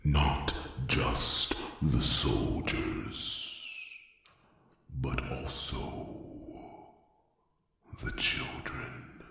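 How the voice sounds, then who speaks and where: A man voicing a huge, solemn male dragon, a wise war leader and mentor, speaking gravely with a gravelly, breathy rumble and weighty pauses in a fantasy ASMR roleplay. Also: sharp tone, heavily acted. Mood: somber, worried. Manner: slow, grave, halting, with long weighty pauses. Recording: cavernous echo and long reverb, distant.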